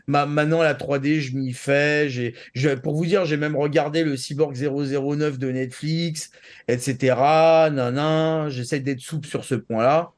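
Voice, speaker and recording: A man's voice: on the high side, steady tone, moderate pace. A man giving his opinions as a panelist in a casual talk show, rambling and slangy, restarting phrases for emphasis.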